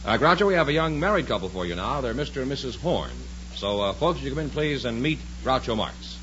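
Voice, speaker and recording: A man working as the show's announcer, with a brisk and polished delivery. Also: medium pitch, lively intonation, over loud music. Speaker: show announcer. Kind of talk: broadcast announcing. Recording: dull 1950s broadcast recording with faint hiss.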